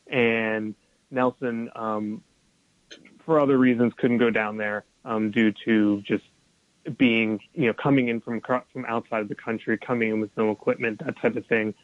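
A man's voice: medium pitch, fairly even intonation, normal speed, dry recording, narrow, tinny audio. A man, a documentary filmmaker of Colombian family, explaining his work casually with loose restarts. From United States, Colombian family. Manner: loose, many fillers and restarts.

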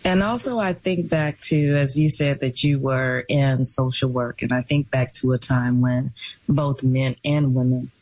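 Breathy, unhurried radio host woman. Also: extremely low pitch, a little mellow, no room sound, low-quality recording. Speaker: radio talk show host. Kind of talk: call-in radio talk.

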